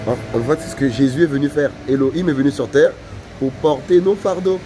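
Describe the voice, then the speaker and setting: A man's voice: fairly high pitch, fairly quick, warm tone. A man interviewing as a street evangelist in a conversational, insistent way, often repeating himself. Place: outdoors on a city street. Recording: outdoor street noise.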